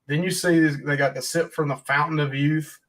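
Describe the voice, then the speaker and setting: A man hosts a casual livestream podcast, his voice sitting a little high. He talks in a folksy, rambling way and keeps throwing in jokes. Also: steady tone, moderate pace, a bit bright. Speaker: podcast host.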